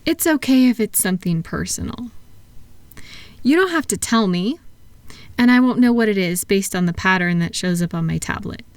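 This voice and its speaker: Soothing therapist, played by a woman, mid-pitched, soft and patient, for a calming bedtime roleplay; dry, intimate sound. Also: no room sound, natural voice. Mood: calm, reassuring. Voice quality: soft-spoken, gentle. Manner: reassuring, unhurried, soothing. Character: kind, patient female thought-calming therapist soothing a client. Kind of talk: soft-spoken roleplay relaxation audio for sleep.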